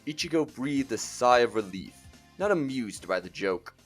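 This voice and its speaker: A man's voice: mid-range voice, expressive, moderate pace, soft background music. A man giving scripted dramatic narration as the narrator of a story.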